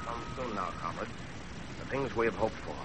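An actor playing a fervent young revolutionary student, declamatory and earnest, in a 1930s radio drama on an old recording. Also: male voice, fairly low pitch, normal speed, a bit bright, a little hiss or hum, natural voice, poor audio.

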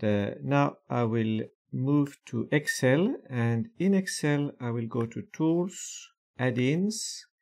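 A man calmly walking through software steps one by one in a screen-recorded tutorial, with frequent hesitations. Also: mid-range voice. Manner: slow, methodical step-by-step explanation with frequent fillers.

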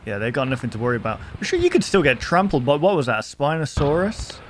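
A man's voice: mid-range voice, very animated, fast. A man, giving casual, wry running commentary into a close microphone. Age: 20s. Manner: wry, musing asides. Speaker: reaction video host. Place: small bedroom studio indoors. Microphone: close desk microphone. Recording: close mic, film audio noise behind.

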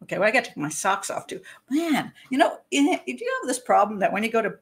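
Chatty older woman, hoarse. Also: a little high, sing-song. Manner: chatty, rambling, self-interrupting, quick to laugh. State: hoarse, clearing throat. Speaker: host, criminal profiler. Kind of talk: casual livestream talk.

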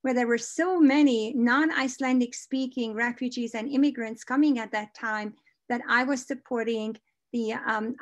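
A woman with a Hungarian accent giving an upbeat conference presentation over a video call. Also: a little high.

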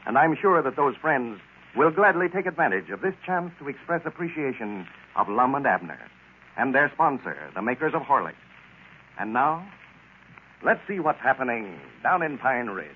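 An American man announcing and pitching a sponsor in folksy, polished 1930s radio style. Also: very animated, moderate pace. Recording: scratchy, tinny 1930s radio broadcast.

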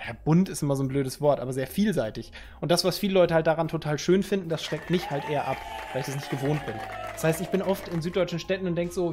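A man in his thirties chats loosely with his viewers, joking in slang as he reads their messages aloud. His voice sits a little high for a man. A headset mic picks him up in a room at home, with faint music behind. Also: darkish tone. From Northern Germany. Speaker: streamer. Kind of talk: casual chat with viewers.

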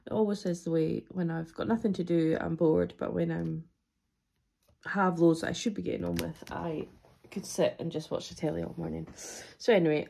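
Husky, chesty Scottish woman rambling. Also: fairly low pitch, mellow. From Scotland. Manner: rambling, friendly, many ums. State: chesty, coming down with a cold. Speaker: budgeting vlogger. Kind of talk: casual chatty to-camera talk. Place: at a wooden table indoors.

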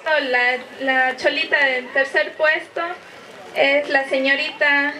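A woman's voice: sits high, little pitch movement, slow, pushed and bright. A woman reading out award winners at an outdoor ceremony. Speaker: award announcer. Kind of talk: slow ceremony announcements. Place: outdoor event stage. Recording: distant PA with crowd noise.

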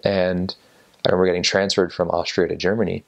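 A young man in his twenties with a low, gravelly voice, full of fry. He talks with an interviewer in a relaxed, thoughtful manner and often pauses and restarts. He is seated indoors in an armchair by a window. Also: lo-fi sound. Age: 20s. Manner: thoughtful, with many fillers and restarts. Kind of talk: relaxed recollection to an off-camera interviewer.

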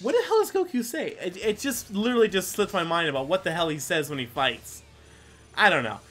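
A man's voice: sits high, big pitch swings, a little fast, a bit bright. A man giving casual let's play commentary as a gaming video host, rambling and thinking aloud.

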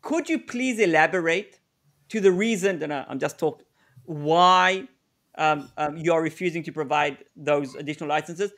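A man's voice: fairly high pitch, moderate pace, a bit bright. A middle-aged man from South Africa presenting a live webinar over a video call, talking conversationally, repeating words as he thinks and acting out sample exchanges. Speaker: negotiation consultant presenting a webinar.

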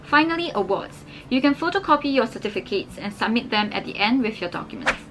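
A young woman from Singapore, explaining application steps clearly to camera indoors. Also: a little high, lively intonation, fairly quick, background noise. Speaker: scholarship advice vlogger. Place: indoors against a plain wall.

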